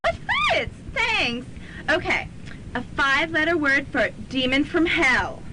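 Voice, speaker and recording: A woman playing an excitable, sharp-tongued young college student in a comic radio drama. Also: high-pitched. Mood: chirpy, uneasy. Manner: animated, brisk comic delivery. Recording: slightly noisy student radio recording.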